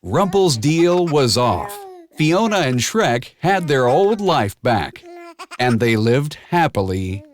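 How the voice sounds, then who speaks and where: A man reading a children's storybook aloud in a measured, expressive storytelling manner, with clear and simple phrasing. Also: medium pitch. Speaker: storybook narrator. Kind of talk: scripted children's read-along storybook narration.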